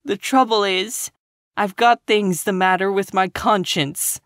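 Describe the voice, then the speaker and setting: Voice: normal speed, fairly bright tone, dry recording, clean studio sound, close to an everyday voice. A reader voicing Anne, a tearful, impulsive young woman teacher who talks in breathless, earnest bursts. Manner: breathless, sobbing, high and earnest.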